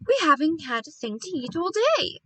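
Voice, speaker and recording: A woman voicing an excitable, fretful mother bird in a storybook, exclaiming dramatically. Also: sits high, moderate pace, no room sound, pitched above the performer's own voice. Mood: indignant worry.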